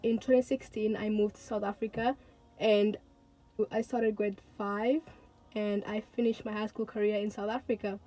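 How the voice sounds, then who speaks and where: A woman's voice: mid-range voice, little pitch movement, moderate pace, warm tone, soft background music, poor audio. A young woman of eighteen from Tanzania, vlogging to her viewers in an earnest, chatty way and repeating words for emphasis. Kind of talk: casual talk to camera.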